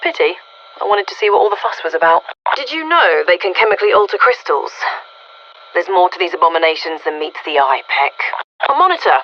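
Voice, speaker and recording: Low-voiced curious woman scientist over radio. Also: sing-song, fairly quick, lo-fi sound, a little hiss or hum. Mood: briskly informative. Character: curious, wry female research scientist guiding soldiers by radio. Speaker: video game mission commander. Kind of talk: scripted video game voice acting.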